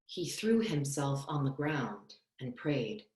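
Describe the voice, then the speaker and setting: A young woman with a notably low voice reads scripture as narrator. Her delivery is measured and solemn. The sound is a roomy, echoing video call from a small home room. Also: big pitch swings, a little mellow. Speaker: clergy member reading as narrator. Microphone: computer on a video call.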